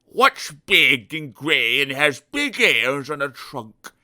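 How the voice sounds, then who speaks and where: A smug know-it-all pig drawls every word slowly and loudly, sneering through a voice that swings widely up and down and rings very bright, as a male storyteller puts him on. He sounds pleased with himself and rude, a storybook bully of a pig. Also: on the high side, very animated, full character voice. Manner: slow, drawn-out, loud, taunting.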